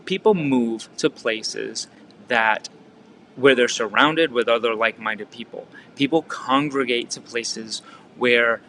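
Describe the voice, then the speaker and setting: A man's voice: medium pitch, slow, sharp tone, slight background noise. A man from Massachusetts with a Massachusetts accent, coaching real estate agents in a casual teaching talk, full of rhetorical questions, fillers and restarts. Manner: conversational, asks the listener frequent rhetorical questions.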